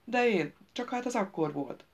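A woman's voice: medium pitch, lively intonation, lo-fi sound, pitched above the performer's own voice. A woman doing a proud, hot-headed young knight. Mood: haughty. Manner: haughty and threatening.

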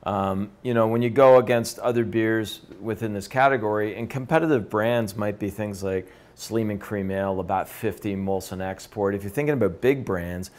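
A man's voice: lowish voice, moderate pace, hardly any pauses, darkish tone. A man in his fifties, enthusiastically leading a beer tasting seminar in a brewery room, picked up by a camera from across the room. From Canada. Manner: conversational, enthusiastic, gestures while talking. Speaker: beer educator.

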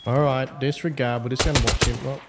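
A man's voice: mid-range voice, steady tone, fast, heavy background noise. A man giving casual, slangy, wry gameplay commentary. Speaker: host, game server admin.

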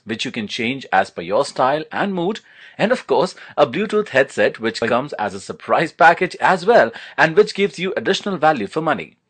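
A man's voice: medium pitch, fairly bright tone, slightly rough. A man narrating a product unboxing and demo as a reviewer, in an enthusiastic, promotional manner.

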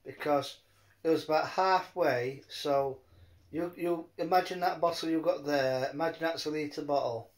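A man chatting casually in a rambling, halting way, often repeating his words. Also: fairly high pitch. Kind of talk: rambling casual phone chat.

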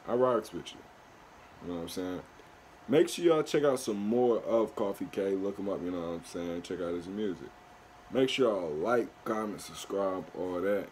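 A man's voice: low-pitched, moderate pace, darkish tone, slight background noise. A young man hosting a music reaction video from a small bedroom, chatting loosely in slang into his webcam. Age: young adult. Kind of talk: casual reaction commentary.

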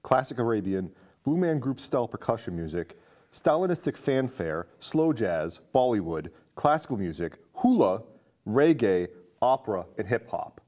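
A man's voice: mid-range voice, big pitch swings, moderate pace, darkish tone. A middle-aged man discussing films casually in an explanatory, conversational way on a studio show. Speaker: film discussion show panelist. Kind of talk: casual film critique. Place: indoor studio with curtains, seated.

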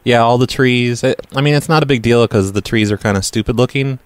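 A man's voice: mid-range voice, fairly quick, clean tone, no room sound, lightly acted. A man playing a blundering, casually unapologetic lawn care worker on a prank call, hesitant but blunt in the way he talks. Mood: nonchalant. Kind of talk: improvised prank phone call.

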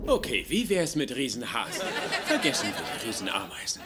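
Lively, cheeky young wisecracker, noisy soundtrack. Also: male voice, medium pitch, a little fast, over a noisy crowd. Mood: curious, teasing. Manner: teasing, sarcastic. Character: cheeky, teasing, sleazy young male nerd. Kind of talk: German-dubbed sitcom acting.

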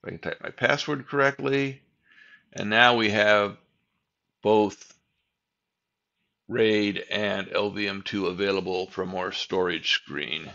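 A man's voice: low voice, creaky, a bit bright, dull audio. An older man narrating a screen-recorded server tutorial, explaining each step in a plain, methodical way. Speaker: tech tutorial host.

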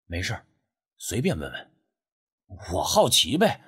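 Man playing an inquisitive, irritable skeptic, very animated. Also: on the low side, measured pace, slightly rough, voice pushed down, full character voice. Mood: probing. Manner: probing, blunt questioning. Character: Ah Mao, inquisitive, irritable, skeptical male friend.

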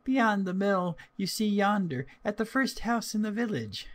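A man's voice: high-pitched, lively intonation, unhurried, voice pushed up. A man voicing an innocent, trusting little girl in a storybook, with a sing-song, wide-eyed manner. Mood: innocent, chatty.

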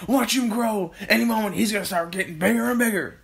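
An excitable farmer father from a children's storybook, acted out by a male reader. His pitched-up voice swoops widely as he gives loud, urgent commands, bossy and eager. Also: normal speed, creaky. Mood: excited, triumphant.

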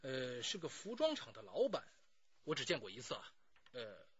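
A man's voice: mid-range voice, huge pitch swings, moderate pace, highs cut off. An actor playing a talkative, self-justifying middle-aged man under police questioning in a radio drama, pleading and sighing as he explains. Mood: explaining.

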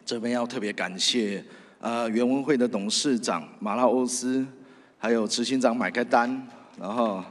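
A man with a Taiwanese Mandarin accent giving an earnest, halting acceptance speech into a stand microphone on a theatre stage. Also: mid-range voice. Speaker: radio host, award winner. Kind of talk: award acceptance speech, partly read from phone. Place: large theatre stage at awards ceremony. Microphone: stand microphone, close. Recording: big hall reverb over PA.

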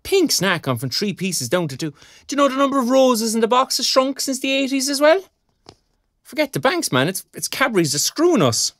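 An actor playing a frank, sardonic young Irish graduate in an audio drama, speaking colloquially. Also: male voice, very high-pitched, big pitch swings, brisk, a bit bright, no echo, studio quality, full character voice. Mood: indignant. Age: young adult. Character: young underemployed arts graduate, frank and wry. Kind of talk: naturalistic audio drama dialogue.

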